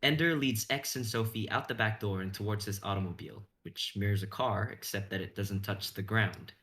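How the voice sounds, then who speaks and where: Young male narrator for script readings, fairly low voice, reads scene descriptions and stage directions. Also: brisk, a bit bright. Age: young adult.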